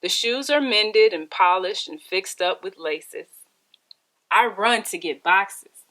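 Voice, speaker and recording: A woman reading a children's story aloud in an expressive, deliberate storytelling style. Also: mid-range voice, poor audio. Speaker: read-aloud storybook reader.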